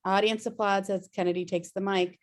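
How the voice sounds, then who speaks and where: A woman's voice: medium pitch, fairly even intonation, a little fast. A woman reading screenplay stage directions as scripted narration on a video-call table read.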